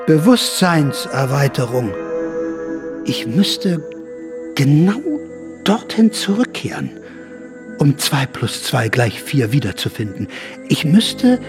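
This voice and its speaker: A haunted old rebel storyteller with a notably high voice. He broods and rambles in radio-play monologue, paranoid yet defiant, with music underneath. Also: a little mellow, exaggerated voice. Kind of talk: radio drama acting. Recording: music behind the voice.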